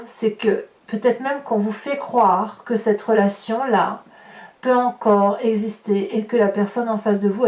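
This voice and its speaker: A French-accented woman reading tarot cards for viewers, talking her way through each card with hesitant pauses and repeated words. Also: fairly high pitch, darkish tone. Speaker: tarot reader. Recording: echoey room, voice from far off.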